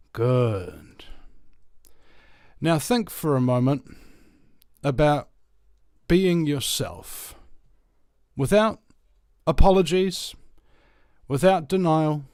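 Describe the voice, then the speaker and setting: A man's voice: fairly high pitch, darkish tone, no room sound, studio quality. A man from New Zealand hosting a solo talk radio show in a rambling, jokey way. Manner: rambling, jokey, many fillers. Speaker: radio host.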